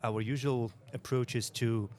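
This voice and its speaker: A man's voice: mid-range voice, steady tone, measured pace, a little mellow. A man hosting a podcast at a forum, asking long questions full of restarts and fillers. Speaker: podcast host. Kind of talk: interview hosting. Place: conference forum venue.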